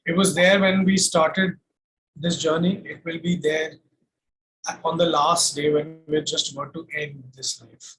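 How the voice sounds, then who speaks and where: Very high-pitched Indian man, reflective. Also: flat delivery, measured pace, a bit bright, rough recording. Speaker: guest, singer-songwriter. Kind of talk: reflective interview conversation. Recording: faint background noise.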